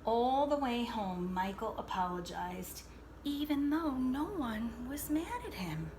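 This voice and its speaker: A woman reading a picture book aloud to children like a teacher, expressively, pausing to explain and check in with her young listeners. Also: fairly high pitch, very animated, background noise. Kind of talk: read-aloud storytelling with asides to young listeners.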